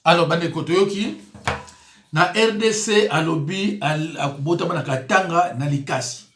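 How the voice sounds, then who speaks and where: A man's voice: on the high side, moderate pace, a bit bright, reverberant, slight background noise. A man, a pastor giving emphatic, indignant commentary on news clips. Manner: emphatic, indignant, with rising exclamations.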